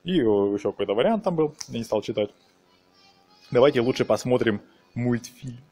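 A man's voice: medium pitch, expressive, moderate pace, mellow. A man giving casual, chatty game commentary as a let's-play host, explaining hesitantly as he plays. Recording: game music behind the voice. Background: video game music.

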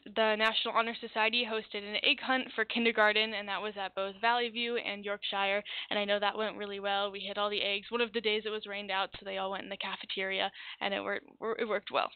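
A woman's voice: mid-range voice, no room sound, phone-quality sound. A teenage girl, the student representative, giving an earnest, orderly report at a school board meeting in a meeting room. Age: teens.

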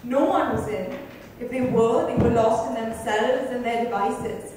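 A woman's voice: on the high side, unhurried. A woman reading literary narration dramatically before a microphone. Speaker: reader. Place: club lounge, indoors. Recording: echoey hall, distant mic, background noise.

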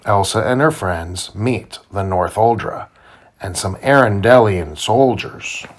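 A man reading a children's storybook aloud to his child in simple, deliberate phrasing. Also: fairly low pitch, a little hiss or hum. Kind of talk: children's read-aloud narration.

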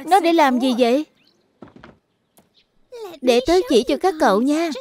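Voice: sits high, unhurried, a little mellow. An actor voicing a young animal cub in a dubbed children's cartoon, eager and friendly in a childlike way, with music behind. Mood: playful, excited.

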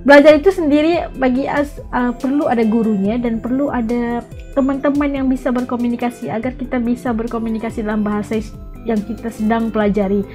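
A woman's voice: a little high, steady tone, brisk, dark tone, faint music behind. A middle-aged woman with a Malaysian accent, hosting a reaction video with casual commentary to the camera. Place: small home studio room.

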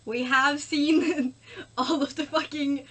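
A woman's voice: high-pitched, steady tone, takes it slowly, fairly bright tone. A young woman bantering casually as a guest in a gaming video, blunt and sweary, recorded in a room indoors. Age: young adult. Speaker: guest co-player. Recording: noticeable background noise.

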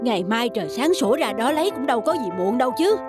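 An actor playing a kind, honest, easygoing peasant husband in an animated folk tale, speaking earnestly. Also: male voice, extremely high pitch, quick pace. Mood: calm, reassuring. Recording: music behind the voice.

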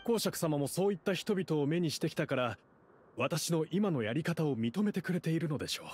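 Casting: an eager-to-please society chief in a game, highish voice, very courteous and formal. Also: male voice, animated, a little fast, darkish tone, slightly rough. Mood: deferential. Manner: formal, obsequiously polite. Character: deferential, smooth-talking society leader, eager to please the duke. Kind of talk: game dialogue acting.

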